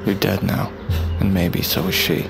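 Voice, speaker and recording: A man's voice: deep voice, sing-song, normal speed, gravelly, loud music behind the voice, exaggerated voice. An actor playing a bitter, guilt-ridden, foul-mouthed young man in an audio drama. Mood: brooding, bitter. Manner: profane, brooding. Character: bitter, guilt-ridden young man, drug dealer, narrating his ordeal. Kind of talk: audio drama acting, first-person narration.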